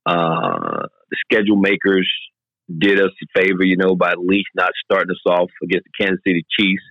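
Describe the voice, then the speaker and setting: A man co-hosting a casual sports podcast, hoarse and raspy from yelling, talking in an animated, emphatic way. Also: low-pitched, normal speed, no room sound, muffled recording. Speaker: podcast co-host.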